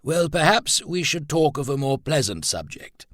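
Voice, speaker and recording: A man's voice: mid-range voice, measured pace, a bit bright, dry recording, studio quality, pitched above the performer's own voice, natural voice. A man voicing a stern, pragmatic man who talks in a firm, clipped way. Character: stern pragmatic older brother.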